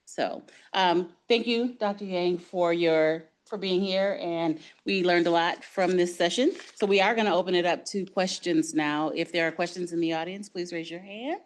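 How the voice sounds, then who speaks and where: Low-voiced woman moderator, earnest. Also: moderate pace, hardly any pauses. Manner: earnest and encouraging. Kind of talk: moderating a health talk.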